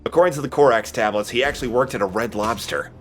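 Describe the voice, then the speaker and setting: A man's voice: medium pitch, fast talker. A man giving casual, joking gameplay commentary in an informal way. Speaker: let's play co-host, player. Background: video game music.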